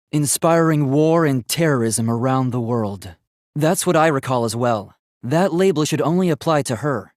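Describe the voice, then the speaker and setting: A man's voice: medium pitch, darkish tone, no room sound, studio quality, close to an everyday voice. A man playing an earnest young male robot student in a video game, speaking in a precise, polite and formal way. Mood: explanatory.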